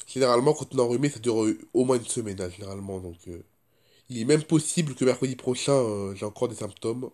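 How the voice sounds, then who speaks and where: A man talks casually to his vlog audience at a middling pitch. He sounds stuffy-nosed from a cold, with a sore throat he keeps clearing, and he rambles along, often repeating himself. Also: mellow. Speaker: vlogger. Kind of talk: casual first-person vlog chat.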